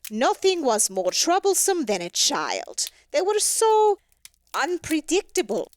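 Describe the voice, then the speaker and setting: A woman reading a story aloud in an expressive, theatrical and wry storytelling manner. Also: high voice, no echo. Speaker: story reader. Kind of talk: expressive scripted storybook narration.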